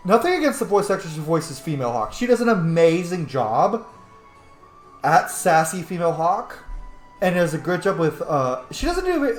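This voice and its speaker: Animated, higher-voiced male game streamer. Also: reverberant. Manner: slangy, opinionated, excitable. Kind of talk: casual game commentary.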